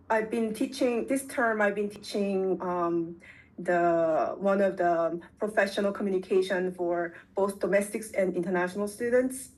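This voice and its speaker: A woman who teaches at a university reflecting in an online seminar; she talks haltingly, with many fillers and restarts. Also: mid-range voice, low-quality recording. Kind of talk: reflective academic seminar discussion.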